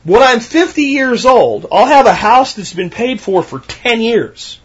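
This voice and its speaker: A man in his thirties with a high, husky voice delivers a solo podcast monologue. He talks emphatically, hammering points with repetition and rhetorical questions. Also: expressive, unhurried, fairly bright tone, dull audio. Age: 30s. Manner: emphatic, ranting, repeats himself. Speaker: podcast host.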